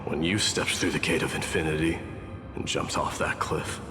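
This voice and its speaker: An actor playing a proud, self-sacrificing warrior demigod in a video game, speaking in a solemn, measured, declamatory way. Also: male voice, very deep voice, steady tone. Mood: grave, resolute. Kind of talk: dramatic game voice acting. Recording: echoey reverberant hall, music behind.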